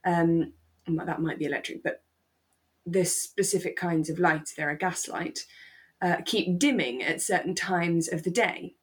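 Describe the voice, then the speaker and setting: A woman's voice: lowish voice, unhurried, husky. A woman co-hosting a podcast, talking casually and reflectively as she explains her take on a radio drama. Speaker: podcast co-host.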